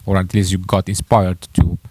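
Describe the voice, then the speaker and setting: A young man with a Romanian accent telling his own story to camera, halting and correcting himself with many fillers. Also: low-pitched, level tone, darkish tone, no echo. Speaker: entrepreneur vlogger. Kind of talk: casual personal story to camera.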